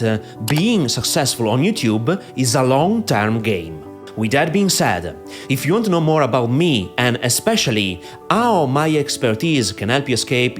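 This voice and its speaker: Italian-accented guy giving youtube marketing tips, lots of uh's, voice bouncing up and down, soft music behind. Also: medium pitch. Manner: frequent "uh" fillers, emphatic lifts on key phrases. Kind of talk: direct-to-camera advice talk. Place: in front of a green screen. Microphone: podcaster microphone.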